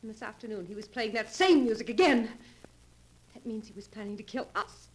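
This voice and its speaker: An actress playing a terrified fugitive wife in a 1940s radio drama, urgent and pleading, her voice breathy. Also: fairly high pitch, brisk, low-quality recording. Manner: urgent, pleading, dropping to hushed tones. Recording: old radio broadcast.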